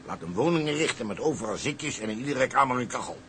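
An actor playing a determined, brash sea captain in a Dutch radio drama, speaking emphatically. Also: male voice, mid-range voice, animated, quick pace, fairly bright tone, slight background noise, natural voice.

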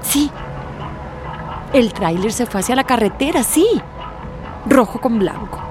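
A woman's voice: a little high, lively intonation, a little slow, over music. An actress playing a nosy, gossipy older village woman, fussy and scandalized, in a radio drama. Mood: conspiratorial.

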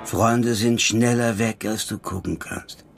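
An actor playing a jaded, defiant anarchist stage magician in a German radio crime drama, talking colloquially and chummily with a theatrical streak. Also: male voice, on the low side, fairly even intonation, slow pace, gravelly, over music. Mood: guarded. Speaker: supporting actor.

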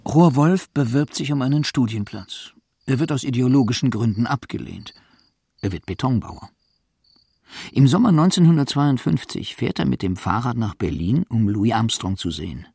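A man narrating a scripted radio feature in a deliberate, pointed way. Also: medium pitch, expressive, vocal fry, dark tone, husky, no room sound.

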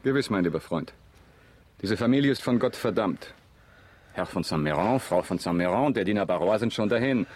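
An actor playing a grave, composed count in a radio drama, speaking with measured, cool authority. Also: male voice, on the low side, lively intonation, a little mellow. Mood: cold, unmoved.

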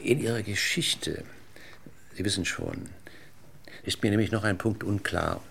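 An actor playing a courteous, measured, persistently probing police inspector in a 1960s German radio play. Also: male voice, deep voice, vocal fry, fairly bright tone, exaggerated voice. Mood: polite probing. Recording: noisy 1960s radio recording, clicks.

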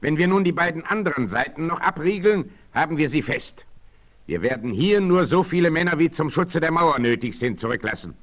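Bold western hunter leader, highish sharp voice, barking orders in an old radio play. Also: male voice, animated, brisk. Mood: resolute, commanding. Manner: declamatory, authoritative, decisive. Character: bold, commanding male frontier hunter and leader. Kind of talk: 1960s German audio drama acting.

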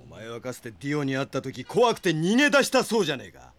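A man's voice: on the high side, big pitch swings, quick pace, a bit bright. An actor playing a proud, hot-headed young man bent on revenge in an audio drama, brash and defiant. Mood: defiant, scornful. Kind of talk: Japanese anime-style audio drama acting.

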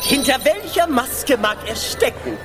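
Radio play casting: showy riddling jester, high and slow, sing-song rhymes over music. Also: female voice, sing-song. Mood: mischievous, showy. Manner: theatrical, sing-song declamation. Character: playful court jester posing rhymed riddles. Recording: music behind.

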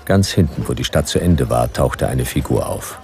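An actor playing a hard-boiled, wary detective hero in a radio drama, defiant, speaking in terse, clipped phrases. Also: male voice, rumbling low, lively intonation, moderate pace, creaky, darkish tone, faint music behind, exaggerated voice. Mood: grim narration. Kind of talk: radio drama acting with noir narration.

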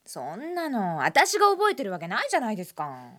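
A woman's voice: on the high side, huge pitch swings, a little fast, heavy background noise. A woman playing a cheeky young female assistant in a radio drama, informal and pert. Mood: puzzled, pert.

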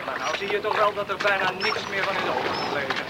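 A man's voice: sits high, fast, lightly acted. An actor playing a young male islander, a curious, practical and amused friend, in a Dutch radio play. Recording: noisy old radio play recording.

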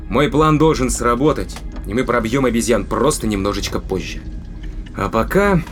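An actor playing a cold, calculating spaceship crewman in an audio drama, speaking in a deliberate, commanding way with a cruel streak. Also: lowish voice.

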